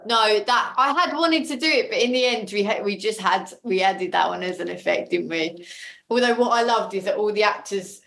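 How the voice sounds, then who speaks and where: A woman's voice: medium pitch, normal speed, gravelly, a bit bright. A woman chatting casually and enthusiastically as a guest in a podcast interview, effusive about the audio drama work.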